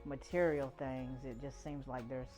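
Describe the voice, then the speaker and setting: A woman's voice: extremely low pitch, fairly even intonation, a little slow, a little mellow, faint music behind. A woman in her forties hosting a podcast, speaking in an earnest, uplifting way. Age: 40s. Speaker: podcast host. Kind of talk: podcast introduction and conversation.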